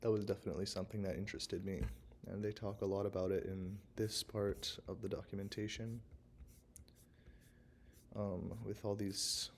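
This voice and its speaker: A young man with a hoarse, froggy voice from a sore throat, giving a halting, unscripted class presentation as a university student. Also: lowish voice, little pitch movement, slight background noise. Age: young adult. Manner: halting, unscripted, frequent pauses. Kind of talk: recorded class presentation, screen walkthrough.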